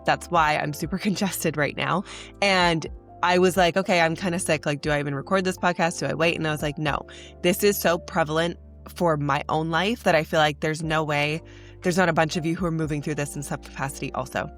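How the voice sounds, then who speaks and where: A woman's voice: low-pitched, steady tone, fairly quick, faint music behind. A young woman hosting a spiritual podcast, talking in an earnest, chatty way, congested from a cold. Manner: earnest, chatty, says "like" often. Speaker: podcast host. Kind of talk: casual spiritual podcast monologue.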